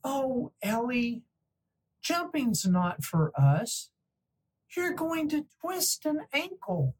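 A man voicing a loving, gently cautioning mother elephant in a children's storybook. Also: extremely high pitch, big pitch swings, extremely slow, breathy edge, voice pushed up, full character voice. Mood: gentle warning.

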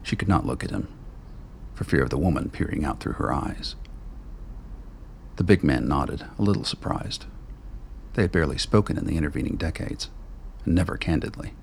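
Very deep gravelly male narrator. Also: animated, normal speed, pauses often, a little hiss or hum. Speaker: writer and narrator. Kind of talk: scripted radio play narration.

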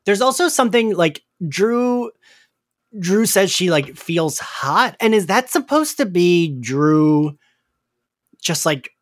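Man, lively swooping intonation, close dry sound, casual recap chat, podcast co-host, conversational. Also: high voice, slow pace, no echo. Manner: conversational, frequently laughing, quoting own reactions.

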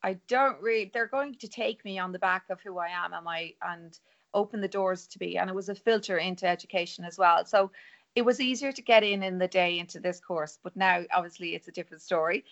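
A woman's voice: lowish voice, brisk, a bit bright, highs cut off. A middle-aged Irish woman talking earnestly and rambling as a guest on a remote podcast interview. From Ireland. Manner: chatty, rambling, earnest storytelling.

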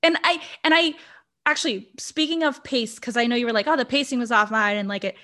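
A woman's voice: a little high, fairly quick, sharp tone. A woman from Brazil, a podcast co-host, chatting about a horror movie with lots of fillers and laughter. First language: Portuguese. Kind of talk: casual movie review chat.

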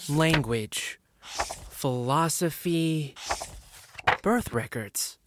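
A man playing a curious, bookish scholar who mutters to himself with expressive reactions in an audio drama. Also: a little high, drawn-out words, background noise. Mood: bored, curious.

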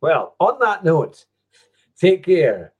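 A man's voice: fairly high pitch, big pitch swings, takes it slowly, darkish tone, slightly rough. A man hosting a casual podcast, talking in a rambling way with lots of fillers. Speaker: podcast host. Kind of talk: casual chat.

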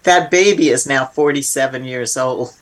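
An older woman speaking as an interview guest, reflective and conversational, thoughtful and emphatic with halting restarts. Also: rumbling low.

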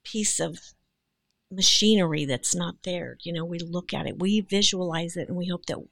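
Female actress guest, creaky voice in a dry close sound, chatting casually in interview. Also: on the low side, moderate pace, a bit bright, no echo.

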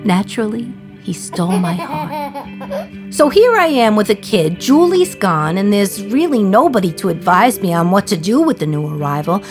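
A woman playing an imprisoned communist young mother in a radio play monologue, wry, confiding and reflective, with dramatic pauses. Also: mid-range voice, sing-song, over music, close to an everyday voice. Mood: wry, tender. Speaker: actor in a solo radio play.

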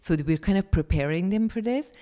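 Hesitant man, dark voice. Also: sits high, expressive, a little fast. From Afghanistan. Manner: hesitant, searching for words, many restarts. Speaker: charity founder, guest. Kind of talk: conversational interview answers.

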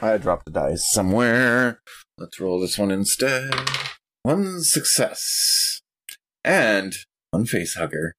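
A man's voice: fairly low pitch, lively intonation, slow pace, noisy background. A man playing a tabletop role-playing game, talking casually in short, hesitant phrases.

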